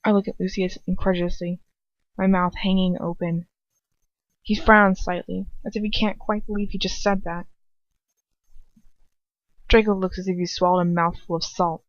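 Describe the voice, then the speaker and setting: A woman reading first-person fiction aloud as an amateur audiobook narrator, in an expressive storytelling style. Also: lowish voice, moderate pace, long pauses, dry recording. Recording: dull lo-fi dry home recording.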